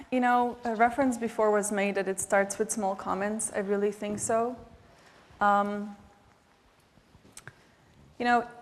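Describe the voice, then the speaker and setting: Bosnian-accented woman, 40s, panel speaker, steady monotone delivery, heartfelt with many pauses, long hall reverb. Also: medium pitch. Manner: heartfelt, hesitant, many fillers and restarts. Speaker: panelist, nonprofit director. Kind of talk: earnest public remarks.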